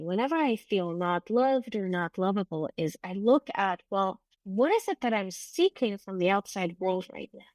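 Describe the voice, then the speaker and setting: A woman's voice: medium pitch, no echo. A middle-aged woman whose first language is German, hosting an unscripted solo podcast in an earnest, reflective way, often restarting her sentences. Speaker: podcast host and business coach.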